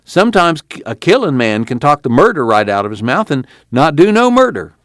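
A man's voice: fairly high pitch, big pitch swings, brisk, a bit bright, dry recording, clean studio sound, voice pushed up. A man voicing an earnest former preacher who speaks with a fervent, preacherly cadence. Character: earnest male former preacher, quiet conviction.

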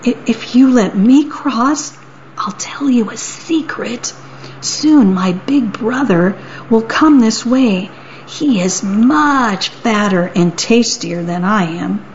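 A woman's voice: on the high side, animated, slow, slight background noise. A woman doing a timid stammering little goat. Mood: sly. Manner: stammering, pleading. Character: small timid male billy goat. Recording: dull lo-fi recording.

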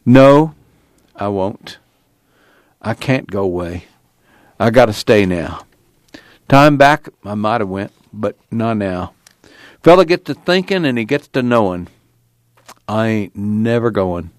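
A man's voice: fairly low pitch, animated, slow pace, pauses often, dry recording. Man doing a lonely, resigned farmer. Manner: quiet, resigned, folksy rural speech. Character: lonely, resigned middle-aged male farmer.

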